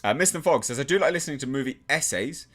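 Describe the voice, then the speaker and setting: Chatty English streamer with an animated voice. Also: male voice, mid-range voice, fast. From England. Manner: chatty, self-deprecating, lots of restarts and fillers. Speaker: channel host reading live chat. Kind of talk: casual livestream chat.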